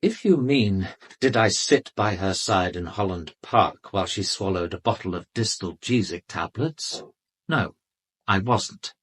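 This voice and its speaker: An actor playing an arrogant, urbane British doctor in a radio drama, speaking with haughty, defensive precision. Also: male voice, sits low, a little slow, fairly bright tone, breathy edge, full character voice. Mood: guarded, irritated. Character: arrogant, urbane male doctor under police questioning.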